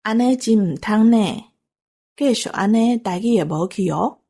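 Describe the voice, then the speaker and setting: A woman's voice: fairly high pitch, normal speed, dark tone, slightly rough. A woman with a Taiwanese accent talking conversationally as a co-host. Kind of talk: conversational discussion.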